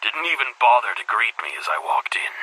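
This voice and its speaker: A man playing a weary, guilt-ridden middle-aged headmaster in a video game, recording a brooding, formal confession as an audio diary with a husky, breathy voice. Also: lowish voice, sing-song, pushed and bright, heavily acted, rough recording. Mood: unsettled, grave. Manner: measured, brooding, formal diction.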